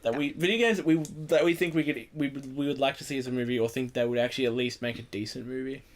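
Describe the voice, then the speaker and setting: Man, podcast host, mid pitch, casual group discussion, emphatic repetition. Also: steady tone, fast.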